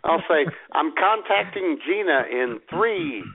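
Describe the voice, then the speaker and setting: A man's voice: on the high side, expressive, a little slow. A man co-hosting a podcast, chatting casually, teasing his partner and laughing often. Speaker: podcast co-host. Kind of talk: casual chat. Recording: tinny phone-like sound.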